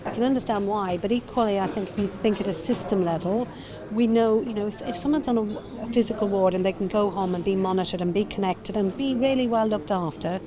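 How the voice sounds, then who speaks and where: Nurse speaking over a crowd. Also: female voice, mid-range voice, brisk. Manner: earnest, thinks aloud with restarts. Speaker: nurse and transformation consultant. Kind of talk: panel interview at an event. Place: conference event. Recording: busy crowd noise behind.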